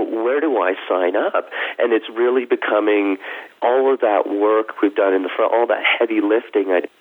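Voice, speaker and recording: A man with a croaky voice, chatting casually on a group call and poking fun at himself. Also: lowish voice. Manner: chatty, self-mocking, laughs while talking. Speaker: coaching program participant. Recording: tinny phone line.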